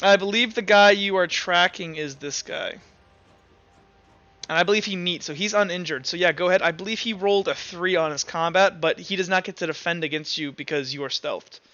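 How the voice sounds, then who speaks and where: A man with a high, bright voice acts as game master in a casual, halting style. He thinks aloud as he narrates. The audio is dry and a little dull. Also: dry recording.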